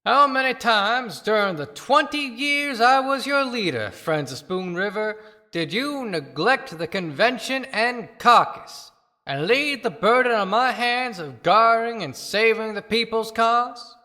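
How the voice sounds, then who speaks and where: Forceful, bitter woman as fallen political leader. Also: on the high side, expressive, measured pace. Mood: indignant, scornful. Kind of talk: theatrical oratory. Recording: long hall reverb.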